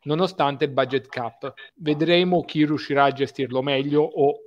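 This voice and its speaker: A man's voice: on the high side, fairly even intonation, moderate pace. Brief: male technical commentator, thirties, methodical and explanatory, lo-fi headset audio. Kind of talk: video call interview. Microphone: headset mic.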